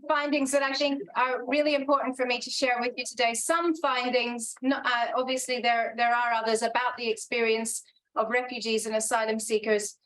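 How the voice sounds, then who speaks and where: Woman, academic speaker, fairly high voice, halting delivery, lots of ums and restarts. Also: steady tone, a bit bright, low-quality recording. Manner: hesitant, many restarts and ums. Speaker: academic researcher presenting. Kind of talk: conference talk.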